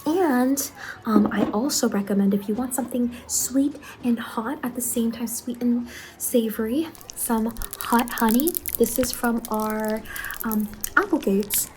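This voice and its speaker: A woman plays an eager young saleswoman at a macabre fair stall in an ASMR roleplay. Her voice is gentle and a bit high, with hesitant, apologetic customer-service politeness. Some noise sits behind her. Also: a little slow, close to an everyday voice, rough recording. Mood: gently recommending.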